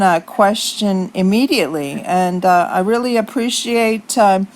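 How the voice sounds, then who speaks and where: A woman from Texas leaving a semi-scripted phone message, polite and earnest, speaking haltingly. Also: mid-range voice. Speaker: caller leaving a voicemail for a court.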